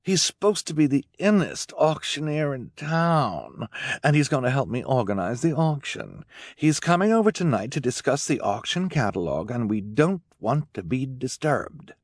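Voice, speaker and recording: A man voicing a haughty, gushing, fashion-obsessed rich woman for an audiobook, with affected emphasis on drawn-out words. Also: mid-range voice, big pitch swings, no room sound, studio quality, voice pushed up. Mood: bossy, self-important. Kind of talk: audiobook character voice.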